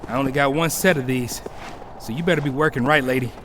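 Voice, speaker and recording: A man's voice: mid-range voice, quick pace, background noise, lightly acted. A man playing an exasperated young hero in an audio drama, grumbling sarcastically to himself. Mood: sarcastic, irritated. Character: exasperated young male hero alone on a quest.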